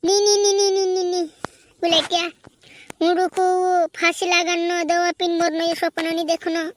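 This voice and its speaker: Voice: little pitch movement, a little slow, a bit bright, slight background noise. A performer voicing an emphatic, bossy little cartoon rascal. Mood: scolding, advising. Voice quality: squeaky, artificially high voice. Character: squeaky, scolding cartoon buddy and comic small-time rascal. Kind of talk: amateur comedy cartoon dub.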